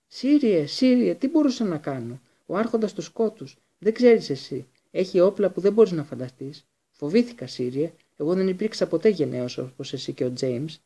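Casting: male narrator as grovelling middle-aged coward, high-pitched, breathless, whimpering, husky, storybook villain. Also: sing-song, hardly any pauses, warm tone, exaggerated voice. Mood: terrified whining. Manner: pleading, stammering repetition.

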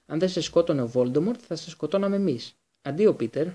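Man performing a calm storybook wizard, controlled, resolute, husky, mellow. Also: on the high side. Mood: grave. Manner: controlled, matter-of-fact. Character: calm, resolute adult male wizard teacher.

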